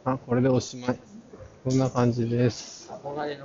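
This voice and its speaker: A man's voice: medium pitch, flat delivery. A man murmuring casual, fragmentary walking commentary as a vlog guide, talking partly to himself. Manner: halting, fragmentary, muttering names to himself. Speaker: vlog host, art fair guide. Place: large busy indoor art fair hall. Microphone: handheld action camera at arm's length, masked. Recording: muffled, crowded exhibition hall noise. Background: crowd chatter.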